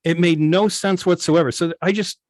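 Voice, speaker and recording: A man's voice: a little high, fairly even intonation, moderate pace, no echo, clean studio sound. A man speaking as a guest in an online meeting, explaining details in a casual, analytical way. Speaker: guest, old-time radio station operator.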